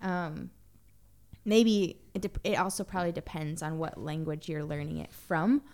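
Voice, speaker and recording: Chatty young woman, lowish voice. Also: steady tone, normal speed, darkish tone. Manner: chatty, many "like" fillers. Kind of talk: casual chat.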